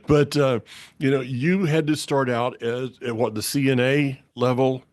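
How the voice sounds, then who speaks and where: A man's voice: medium pitch, steady tone, a little slow, a little mellow, no room sound. A man with a Southern US accent hosting a casual faith conversation, folksy and meandering, with lots of restarts. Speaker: podcast host.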